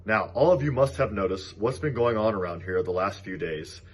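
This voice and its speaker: A man reads as a clever female spider chairing a barnyard. The voice is husky, brisk and bossy, with a dry, articulate storybook delivery. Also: lowish voice, animated. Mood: businesslike.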